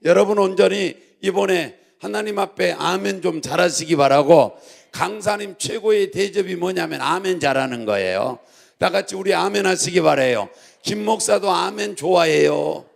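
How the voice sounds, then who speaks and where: A male pastor preaching a church sermon in a gravelly voice, exhorting and repeating himself as he prompts the congregation to respond. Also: high-pitched, moderate pace.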